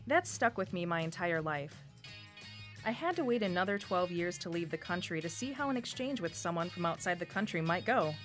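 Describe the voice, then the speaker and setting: A woman's voice: lowish voice, animated, fairly quick, a bit bright. A woman in her thirties from Wisconsin with a Wisconsin accent, reading a personal story for radio in a reflective way. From Wisconsin, USA. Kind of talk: scripted first-person narration. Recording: faint music behind voice.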